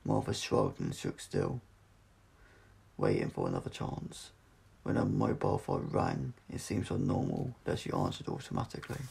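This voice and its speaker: A man's voice: rumbling low, huge pitch swings, moderate pace, vocal fry, a little mellow, a little hiss or hum. A man narrating an adventure audiobook from a script, with the occasional stumble as he reads aloud. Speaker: audiobook reader.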